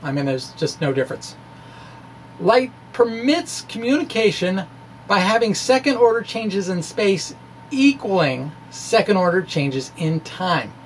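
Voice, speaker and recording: A man giving a dramatic, conversational reading as a physics explainer, with wry asides and a halting delivery. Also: sits high, very animated, a little hiss or hum, poor audio. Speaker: amateur physics presenter.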